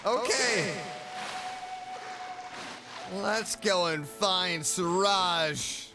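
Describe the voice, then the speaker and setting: High-voiced, playful male game streamer. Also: very slow. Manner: playful, expressive, exclamatory. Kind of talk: casual gameplay reaction commentary. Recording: game music behind the voice.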